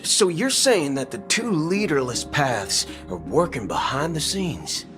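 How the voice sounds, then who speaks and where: Brash excitable folksy dude, voice jumps around, game music behind. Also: male voice, on the high side, a little slow. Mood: startled, exasperated. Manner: colloquial, emphatic. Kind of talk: video game voice acting. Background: game soundtrack music.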